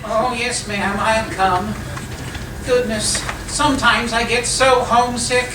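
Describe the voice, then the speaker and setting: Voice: mid-range voice, steady tone, slow, close to an everyday voice. A performer playing a timid, henpecked son-in-law in a live radio comedy, hesitant, deferential and plaintive. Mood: meek, wistful. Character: meek, henpecked son-in-law, Wilbur Teasley, timid and mournful. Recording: echoey live stage, distant, noisy.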